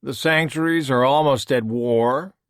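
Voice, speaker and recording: A man's voice: mid-range voice, a little slow, clean tone, no echo, clean studio sound, voice pushed up, close to an everyday voice. A man voicing a smug, scheming male villain who taunts and mocks. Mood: mocking. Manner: taunting, mocking.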